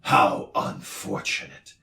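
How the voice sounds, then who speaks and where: A man voicing a pompous male doctor who lectures loudly and roars, in a storybook reading. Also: slow, reverberant, distant mic. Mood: grave. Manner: loud, roaring, lecturing. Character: booming gruff male noise doctor, pompous.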